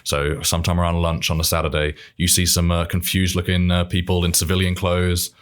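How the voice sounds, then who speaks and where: British man with a very low voice, relaxed and joking, lots of ums, casual guest. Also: brisk, smooth. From United Kingdom. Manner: easygoing, joking, frequent ums. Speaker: podcast guest, airman. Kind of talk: casual interview chat.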